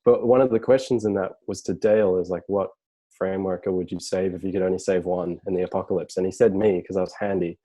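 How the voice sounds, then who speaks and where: Man, low mellow voice, relaxed, rambling, casual podcast chat over a video call. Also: quick pace. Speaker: podcast guest, designer.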